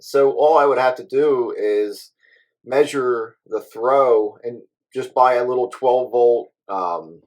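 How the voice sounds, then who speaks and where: A man's voice: mid-range voice, slow pace. A man chatting casually as a hobby radio operator, rambling along in an easygoing way.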